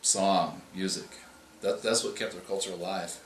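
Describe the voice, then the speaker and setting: A male author answering interview questions, explaining in a measured way and often restarting his phrases. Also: low voice, fairly even intonation, slight background noise. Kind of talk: interview answers on writing craft. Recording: echoey room with faint ambience.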